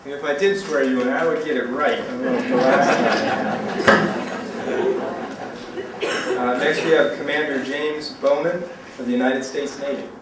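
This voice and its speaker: A man's voice: medium pitch, fairly even intonation, a little slow, pauses often. A man acting as master of ceremonies, introducing speakers at a public event with light off-the-cuff remarks. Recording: very noisy, echoey public event, distant mic.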